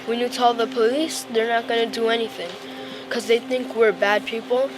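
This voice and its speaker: A woman's voice: fairly high pitch, steady tone, moderate pace, noisy background. A woman answering frankly and casually, with many likes and gonnas. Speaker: community member.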